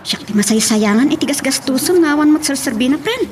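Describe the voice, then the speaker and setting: A nosy young best friend in a radio love drama. Her voice is high and very animated, frank and teasing. Clear noise runs through the recording. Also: quick pace. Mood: chiding. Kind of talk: radio romance drama acting. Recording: noisy radio drama track.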